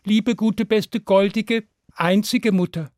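A man voicing hungry little children in a storybook tale, coaxing and singsong as they flatter their mother. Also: high-pitched, slow pace, no room sound, clean studio sound, voice pushed up. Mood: pleading.

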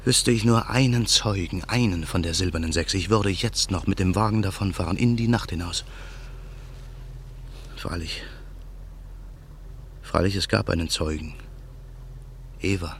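A deep, gravelly avenger. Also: male voice, a little slow, long pauses, fairly bright tone, a little hiss or hum. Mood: brooding. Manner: brooding, intense. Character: Daniel, a haunted man bent on revenge. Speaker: narrator and lead character. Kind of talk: radio play acting.

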